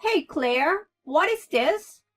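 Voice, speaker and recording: A woman voicing a spiteful older mother-in-law who scolds in a shrill, loud voice. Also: lively intonation, slow, soft background music, full character voice. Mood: scolding.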